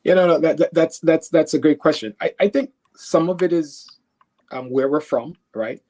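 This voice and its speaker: A man's voice: fairly high pitch, moderate pace, mellow. A man from Haiti answering as an expert guest in an interview, explaining emphatically in a conversational way, voicing example thoughts and often checking in with short questions. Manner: explains through imagined first-person quotes.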